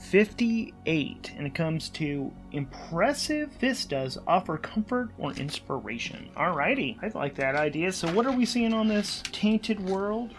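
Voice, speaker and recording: A man's voice: high voice, sing-song, unhurried, hardly any pauses, faint music behind. Man musing aloud through a solo game. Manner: conversational, musing aloud to himself. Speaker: solo tabletop RPG player and podcast host. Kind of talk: thinking-aloud game narration.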